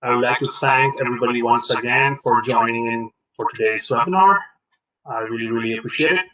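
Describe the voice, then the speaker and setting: A man's voice: medium pitch, fairly quick, bright, forceful tone, poor audio. A man presenting an informal product demonstration as a trainer, speaking hesitantly and often repeating himself.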